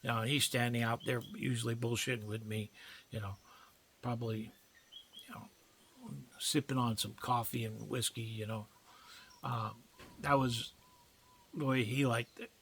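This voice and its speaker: A man's voice: medium pitch, fairly even intonation, moderate pace, pauses often, fairly bright tone, a little hiss or hum. An older man telling personal stories in a casual, folksy, rambling way with colloquial phrasing. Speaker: storyteller recounting personal memories. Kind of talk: casual reminiscing monologue.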